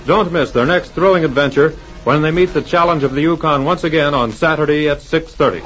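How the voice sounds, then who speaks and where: A man's voice: fairly high pitch, fairly quick. A man announcing and narrating a scripted 1940s radio program in a formal style. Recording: muffled old radio recording. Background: music.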